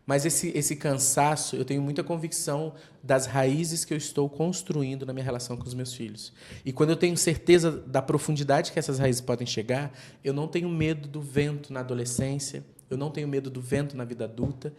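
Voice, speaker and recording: A man's voice: medium pitch, fairly even intonation, brisk, a little mellow. Earnest man reflecting on fatherhood. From Brazilian Northeast. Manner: earnest, speaks in extended metaphors. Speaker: podcast guest. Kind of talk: reflective interview talk.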